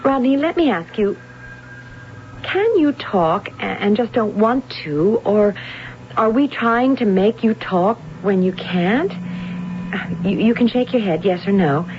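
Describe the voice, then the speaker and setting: An actress playing a caring, anxious wife who is gentle and patient, in an old-time radio drama. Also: female voice, medium pitch, expressive, slow pace. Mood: soothing, patient. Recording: muffled noisy old radio drama recording.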